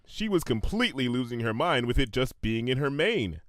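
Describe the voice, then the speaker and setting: A man reading a comic story aloud in an expressive, scripted narration style. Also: medium pitch, moderate pace, no room sound, studio quality. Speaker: narrator, fan fiction reader.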